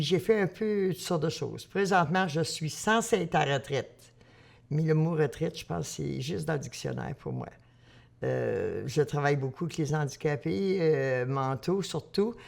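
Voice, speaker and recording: A woman in her sixties from Northern Ontario with a Franco-Ontarian French accent, talking in a plain-spoken, steady way in a documentary interview. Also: low voice, creaky. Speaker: retiree and volunteer.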